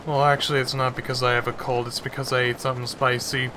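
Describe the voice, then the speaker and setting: A young man with a stuffy nose giving slow, halting casual commentary on a game from his bedroom. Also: mid-range voice, level tone. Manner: slow, halting, self-correcting chatter. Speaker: gaming channel host. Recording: noisy home recording.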